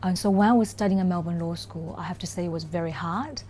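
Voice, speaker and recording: A Mandarin-native woman from China speaks English with a Chinese accent in a warm, mellow, lowish voice. She answers reflectively, pausing with "um" and restarting her sentences. A faint noise sits under the recording. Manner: thoughtful, many "um" pauses and restarts. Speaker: corporate lawyer, interview guest. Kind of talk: relaxed interview conversation.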